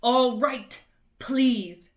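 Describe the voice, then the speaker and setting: A woman voicing a mischievous male poltergeist in a storybook reading, with a shrill, sing-song, gloating way of speaking. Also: drawn-out words. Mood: sing-song mocking. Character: mischievous cackling male poltergeist, gleefully spiteful.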